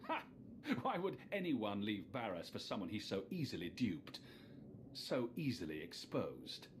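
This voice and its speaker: A man's voice: on the low side, very animated, measured pace, noisy background. A man playing a loyal apprentice warrior in a video game, with an earnest, dramatic delivery. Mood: mocking.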